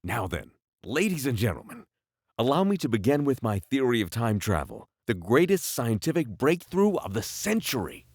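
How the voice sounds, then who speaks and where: A man's voice: medium pitch, very animated, moderate pace, dry recording, studio quality. A man playing a pompous, irritable inventor in an anime visual novel fan dub, sounding self-important. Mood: pompous, confident. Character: pompous, irritable male inventor giving a lecture.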